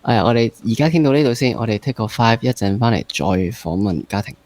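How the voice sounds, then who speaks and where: A man's voice: mid-range voice, quick pace, a little mellow, no echo. Young Hong Kong Cantonese man hosting. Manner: mixes English words into speech. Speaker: podcast host. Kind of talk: conversational interview.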